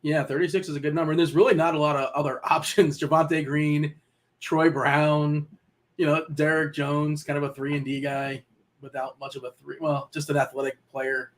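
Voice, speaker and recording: A man co-hosting a live sports betting stream, chatty and rambling, quick to laugh. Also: fairly high pitch, little pitch movement. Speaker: betting show co-host. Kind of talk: casual live-stream chat.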